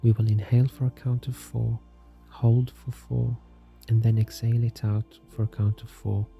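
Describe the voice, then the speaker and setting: A man leads a guided meditation in a soft, soothing, scripted narration, calm and gentle, leaving long unhurried pauses. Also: medium pitch, creaky. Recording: music behind the voice. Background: soft music.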